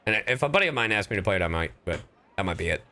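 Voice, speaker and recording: A man's voice: on the low side, animated, very fast, bright, forceful tone, faint music behind. A man livestreaming a video game, giving casual, blunt and joking commentary as he plays. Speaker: livestreamer, professional voice actor. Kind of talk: casual gaming stream commentary.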